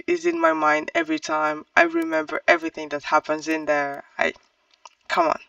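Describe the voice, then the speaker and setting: A casual book reviewer thinks aloud in a slow, hesitant flow, often correcting course. The pitch swings widely and drops into a gravelly creak. The recording sounds a little distant from the mic. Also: a bit bright, no room sound, lo-fi sound. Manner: halting, hesitant, self-correcting. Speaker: book reviewer on own channel.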